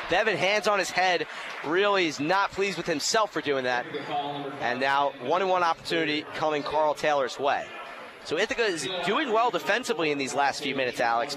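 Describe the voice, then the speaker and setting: Over clear gym noise with a bouncing ball, a young man with a fairly high voice calls a basketball game live. He is an excitable play-by-play announcer who lifts his voice when the action heats up. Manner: excitable, raises voice on big plays. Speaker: play-by-play announcer and sports show host. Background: basketball game in an indoor gym.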